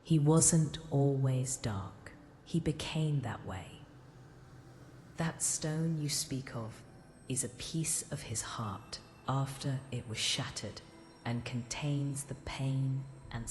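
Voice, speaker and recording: A woman's voice: extremely low pitch, darkish tone. A woman playing an ancient goddess in a mortal body, grave, formal and portentous, in a fantasy audio drama. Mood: sorrowful, hushed. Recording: long echoing reverb with music.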